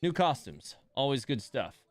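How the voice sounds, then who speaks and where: A man's voice: mid-range voice, sing-song, normal speed, faint music behind. A man hosting a game livestream, chatting casually and informally to his viewers in a rambling way.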